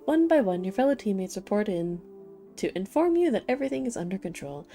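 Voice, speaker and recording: A woman's voice: mid-range voice, sing-song, quick pace, warm tone, soft background music. A woman gaming streamer giving playful, effusive commentary and reading game text aloud. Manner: effusive, playful, cooing over characters.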